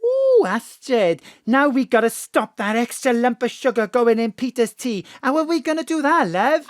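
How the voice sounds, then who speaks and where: A woman's voice: a little high, sing-song, moderate pace, no echo, studio quality. An actress playing a jolly, motherly older matron with broad, exclamatory pantomime delivery in an audio drama. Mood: triumphant.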